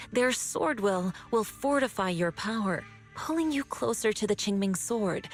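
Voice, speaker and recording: A woman voicing a calm, wise female sword master who patiently explains things in a measured way, in a video game. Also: fairly high pitch. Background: faint game music.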